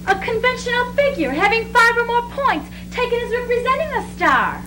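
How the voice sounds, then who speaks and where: A young woman performs scripted readers theatre narration. Her voice is very high and moves widely in pitch. The amateur stage recording has clear noise. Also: big pitch swings, moderate pace. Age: young adult.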